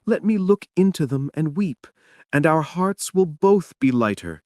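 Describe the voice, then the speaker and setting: A man's voice: a little high, lively intonation, measured pace, mellow, no room sound, studio quality, voice pushed up. A man voicing a sad, lonely, pleading wife in a theatrical, plaintive reading of a stage play. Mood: pleading, tender.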